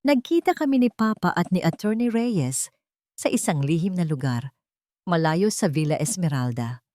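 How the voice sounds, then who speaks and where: Female storyteller, low register, dramatic scripted narration, dry close sound. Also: expressive, normal speed, warm tone, dry recording.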